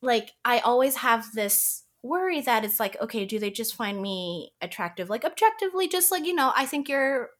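A woman's voice: on the high side, expressive, moderate pace, few pauses. A young woman from Canada, a podcast guest chatting casually, often laughing and full of fillers.

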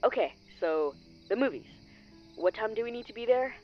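An actor playing an easygoing, caring teenage friend, gentle and concerned, in an audio drama. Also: medium pitch, expressive, normal speed, highs cut off, faint music behind, close to an everyday voice. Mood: casual.

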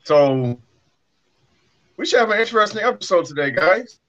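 A man with a voice a little higher than average hosts a podcast in a casual, conversational style. The recording is a bit dull and lo-fi. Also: big pitch swings, moderate pace, fairly bright tone. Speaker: podcast host. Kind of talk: casual discussion of current events.

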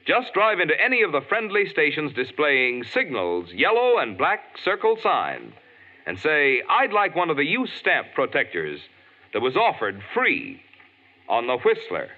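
Lively vintage radio ad announcer man. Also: on the high side, measured pace. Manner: friendly, persuasive. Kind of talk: scripted ad read. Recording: tinny old radio broadcast.

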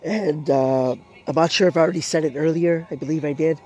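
A man's voice: a little high, a little fast, darkish tone. A man hosting his own podcast, talking casually and losing his voice from a sore throat. He rambles, with many fillers and restarts. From Canada. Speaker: podcast host. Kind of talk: casual solo podcast talk. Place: outdoors in his backyard. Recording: music playing behind the voice. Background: intro music playing.

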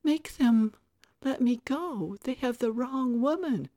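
A woman's voice: animated, takes it slowly, mellow, no room sound, studio quality. A woman voicing a frenzied, spiteful young woman who can slip into a wheedling little-girl voice in a dramatic story. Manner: shrill accusing outbursts, pleading whine.

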